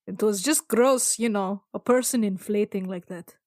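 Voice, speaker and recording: A woman's voice: medium pitch, moderate pace, darkish tone, no room sound, clean studio sound, close to an everyday voice. A woman playing a reassuring, mildly squeamish companion in a tabletop game, speaking frankly and matter-of-factly.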